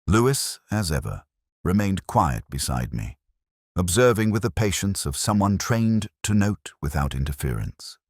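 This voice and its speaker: A man reading a first-person detective story in a measured, formal, literary manner, as scripted narration. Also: deep voice, very animated, dry recording, clean studio sound. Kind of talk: scripted audiobook-style mystery narration.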